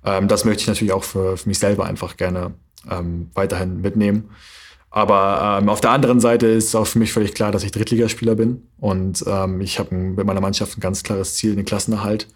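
Husky young man, halting interview answers. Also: on the low side, flat delivery. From Germany. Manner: thoughtful, many hesitation fillers. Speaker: handball player, guest.

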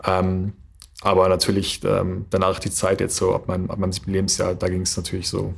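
A young German man in his twenties with a slightly low, husky voice, answering as a handball player. He talks thoughtfully and stops often to search for words. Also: completely flat delivery, a little fast. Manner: thoughtful, many hesitation fillers. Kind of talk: interview answers.

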